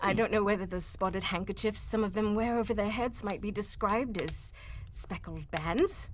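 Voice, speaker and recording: A woman's voice: medium pitch, moderate pace, a little hiss or hum, close to an everyday voice. A woman performing an earnest, distressed young Englishwoman telling her tale in a theatrical live radio mystery. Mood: earnest, explaining. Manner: theatrical, melodramatic. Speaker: voice actor reading cold. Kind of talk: live old-time radio mystery acting.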